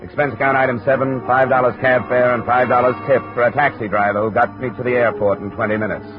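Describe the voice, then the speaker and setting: An actor playing a wry, hard-boiled American investigator, clipped and world-weary, in 1950s radio noir style. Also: male voice, mid-range voice, fairly even intonation, fast, lightly acted. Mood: dry, narrating. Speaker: lead actor and narrator of a radio detective series. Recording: dull vintage radio recording with music.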